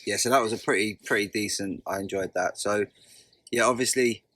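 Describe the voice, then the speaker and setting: A man's voice: lowish voice, a little fast. A man with a London accent co-hosting a fishing channel, chatting in an easygoing, joking way outdoors by a lake. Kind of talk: casual chat. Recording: outdoor recording with loud birdsong. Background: loud birdsong.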